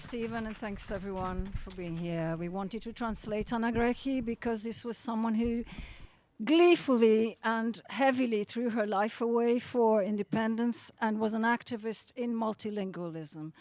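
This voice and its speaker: A woman presents and reads poetry at a live event. Her voice sits a little high. She phrases things deliberately and with drama, like a practised reader. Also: darkish tone, dry recording. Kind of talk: introduction and expressive poetry reading. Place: outdoors at a gallery event.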